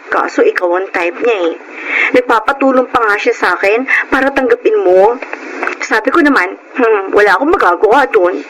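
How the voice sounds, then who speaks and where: A woman's voice: on the high side, expressive, normal speed, a bit bright, a little hiss or hum, rough recording. A woman playing a girlish, teasing, envious best friend in a Filipino radio drama, playful and exaggerated. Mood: playfully envious.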